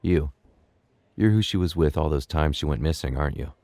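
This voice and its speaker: A man's voice: a bass voice, brisk, a little mellow, no echo. A man playing a bewildered, protective middle-aged father in an audio drama, stammering when flustered. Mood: soothing, relieved. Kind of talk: naturalistic audio drama acting.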